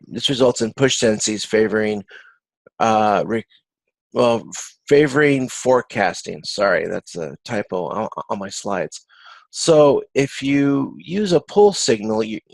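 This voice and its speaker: Male instructor, lowish voice, halting informal lecture delivery with repeated words, close dry sound on a video conference. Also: dry recording, poor audio. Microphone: video conference call.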